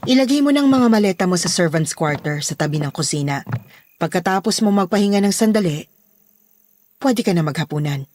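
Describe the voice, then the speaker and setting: A woman's voice: lowish voice, lively intonation, fairly quick, darkish tone, a little hiss or hum, lightly acted. A woman with a Filipino accent playing a protective, bossy daughter running a household in a horror audio drama. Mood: matter-of-fact.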